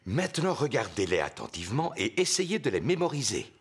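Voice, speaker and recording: A man's voice: medium pitch, sing-song, a little fast, a little hiss or hum. A man voicing a good-natured, jolly daddy pig who explains things patiently in a French children's cartoon. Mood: patient, jovial. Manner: hearty chuckles, patient explaining.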